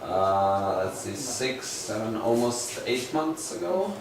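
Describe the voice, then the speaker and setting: Slow-speaking man from Croatia. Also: mid-range voice, expressive, recorded from a distance. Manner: hesitant, searching for words, chuckles. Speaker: meetup participant. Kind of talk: casual self-introduction in a group. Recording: echoey room, voice from afar, faint noise.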